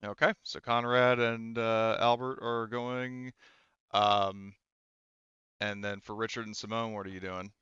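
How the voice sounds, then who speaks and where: A man narrating a tabletop game as game master in a casual, halting, sometimes profane way. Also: on the low side, level tone, sharp tone, smooth, no room sound, lo-fi sound.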